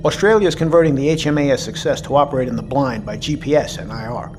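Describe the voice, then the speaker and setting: A man playing a grave, weary submarine commander in an audio drama, measured and blunt in a military way. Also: medium pitch, natural voice. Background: music.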